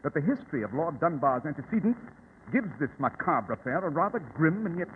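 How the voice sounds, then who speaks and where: An actor playing a keen, commanding gentleman detective in a 1940s radio drama, with an incisive, theatrical and precise delivery. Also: a little high, very animated, fairly quick. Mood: grave, intrigued. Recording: tinny, noisy old radio recording.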